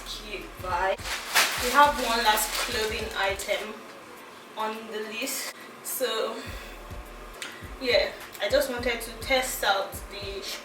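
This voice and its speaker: A young Nigerian woman with a Nigerian accent, chatting giggly and casually through a clothing try-on haul, thinking aloud with restarts. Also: medium pitch. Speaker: fashion vlogger. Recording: echoey room, mic at a distance, music behind.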